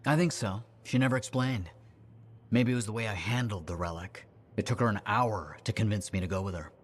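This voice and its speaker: An actor playing an earnest, bookish arcanist in a video game, speaking in a polite, well-spoken, reflective way. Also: male voice, lowish voice, a little fast, slight background noise, natural voice. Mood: wistful. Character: earnest, bookish male arcanist, former street kid. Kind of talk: game dialogue acting.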